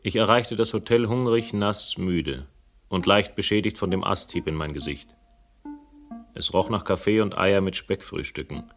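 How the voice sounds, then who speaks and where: A wry, defiant detective in a radio crime drama. His voice sits fairly low, and he talks in a dry, wordy, sardonic way, as if worn down by the world. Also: moderate pace, a bit bright, faint music behind. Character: wry, world-weary male detective narrator, cheeky and defiant. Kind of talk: German radio crime drama acting and first-person narration.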